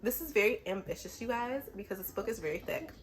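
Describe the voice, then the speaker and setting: A woman's voice: medium pitch, lively intonation, quick pace. A woman book vlogger talks casually to camera with a mid-range voice. She is a little under the weather but sounds chatty and enthusiastic, keeping things informal. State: a little sick.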